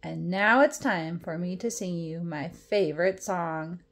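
A woman's voice: lowish voice, a bit bright. A woman reading a children's picture book aloud in a playful, theatrical way, with exaggerated expression. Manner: playful, exaggerated mock dismay, dramatic drawn-out words and whispers. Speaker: storytime reader.

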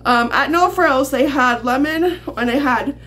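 Casting: middle-aged woman, higher-pitched, informal rambling haul-vlog chat, lightly noisy home kitchen sound. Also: unhurried, fairly bright tone. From Canada. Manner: rambling, chuckles often. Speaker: vlogger. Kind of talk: casual chatty grocery haul vlog. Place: small home kitchen, indoors.

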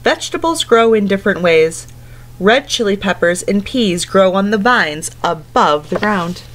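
A woman in her thirties reads to small children in a teacher's manner, at a medium pitch. She keeps her phrasing simple and mixes the book's text with questions and asides to her listeners. It is recorded at home in a living room. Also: animated, a little slow. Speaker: teacher reading aloud to children. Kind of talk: picture-book read-aloud with asides and questions to young listeners.

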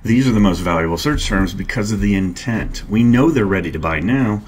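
A middle-aged man narrating a screen-share tutorial, calmly explaining each step and click as a marketing instructor. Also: on the low side, brisk, slight background noise. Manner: calm, explanatory, walks through clicks step by step.

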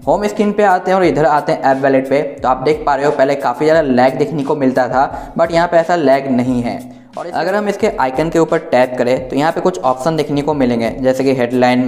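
A man's voice: mid-range voice, a little mellow. An Indian-accented man hosting an informal tech walkthrough, explaining things directly to viewers. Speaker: tech reviewer, host. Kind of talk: informal smartphone software walkthrough. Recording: echoey, roomy sound.

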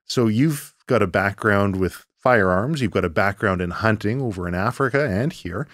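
A man's voice: fairly low pitch, normal speed, fairly bright tone, no echo, studio quality. A man speaking as a podcast host, introducing the show and interviewing.

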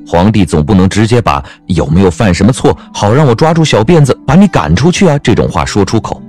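A man narrating a scripted story in a wry, expressive manner. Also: fairly low pitch, quick pace, soft background music.

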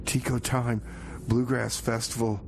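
A man's voice: medium pitch, little pitch movement, measured pace, vocal fry. Folksy man hosting radio, some background noise. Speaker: community radio show host. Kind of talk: radio music show with comedy theater. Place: community radio broadcast.

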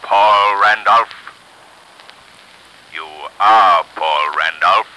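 An actor playing an unseen, commanding, ominous avenger in a 1930s radio mystery. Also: male voice, on the low side, extremely slow, bright, forceful tone, a little hiss or hum, full character voice, poor audio. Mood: stern, accusing.

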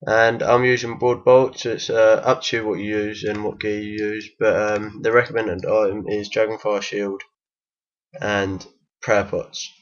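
A man with a croaky voice from being unwell gives a casual, hesitant game tutorial voice-over. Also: fairly low pitch, flat delivery, a little slow, muffled recording. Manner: hesitant, many ums and restarts. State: croaky from illness. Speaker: game guide maker.

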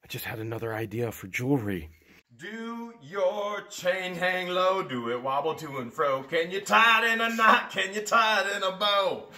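A middle-aged man giving excited running commentary as he explores a big cluttered warehouse, filmed on a handheld camera. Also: high-pitched, normal speed. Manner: enthusiastic, exclaims often, thinks aloud. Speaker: vlogger picking for resale. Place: large cluttered indoor warehouse.

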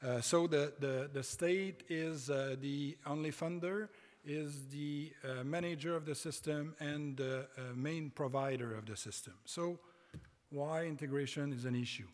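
French Canadian man lecturing in a hall. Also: a little high. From Quebec, Canada. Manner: halting, many hesitations and restarts. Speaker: conference speaker. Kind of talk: conference lecture. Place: large conference hall stage. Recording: reverberant conference hall.

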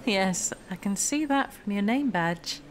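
A woman's voice: medium pitch, expressive, a little slow, a little hiss or hum, natural voice. An actress playing a polished, evasive young professional woman in a naturalistic audio drama, poised and faintly condescending. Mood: guarded.

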